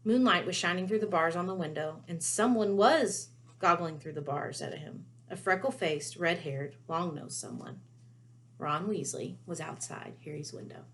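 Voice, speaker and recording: Low-voiced woman reading a story aloud. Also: normal speed. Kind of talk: expressive children's book narration.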